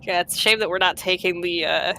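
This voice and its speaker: A woman's voice: lowish voice, steady tone, brisk, creaky, pushed and bright, a little hiss or hum, low-quality recording. A woman playing through a video game, reading narration aloud with playful, dry asides, music playing behind her. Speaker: let's player.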